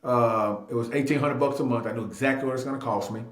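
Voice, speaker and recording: Male, 30, casual interview guest, emphatic storyteller who repeats key phrases, mid-pitched, roomy echo. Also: steady tone, quick pace. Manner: emphatic, repeats phrases, acts out quoted dialogue. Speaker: real estate agent and investor being interviewed. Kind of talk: animated personal storytelling in casual talk. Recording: echoey room.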